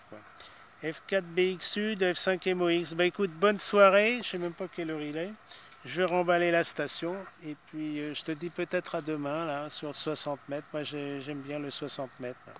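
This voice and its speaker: A man's voice: fairly high pitch, a bit bright. A man chatting casually as an amateur radio operator, in hesitant phrases that often trail off, with some background noise.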